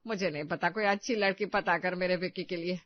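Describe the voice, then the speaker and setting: A woman's voice: fairly low pitch, brisk, dry recording, muffled recording, natural voice. A woman voicing a nagging, tearful, overprotective middle-aged mother in a comedic Hindi audio story. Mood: sarcastic, nagging. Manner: scolding, emotionally blackmailing.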